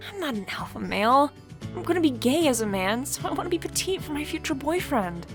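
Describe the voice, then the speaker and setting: A woman's voice: high voice, very animated, brisk, music in the background, pitched above the performer's own voice. A woman voicing an anxious, self-loathing middle-aged woman with a hesitant, stammering delivery in a story. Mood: flustered.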